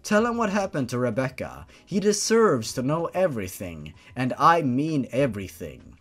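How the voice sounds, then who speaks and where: A man's voice: mid-range voice, very animated, moderate pace, a bit bright. A man voicing a commanding sister in a story reading, firm and emphatic. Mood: insistent.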